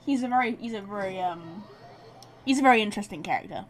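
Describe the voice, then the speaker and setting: A woman's voice: medium pitch, lively intonation, quick pace, a little hiss or hum. A woman giving casual, chatty live game commentary, reacting aloud and talking to her chat. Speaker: game livestreamer.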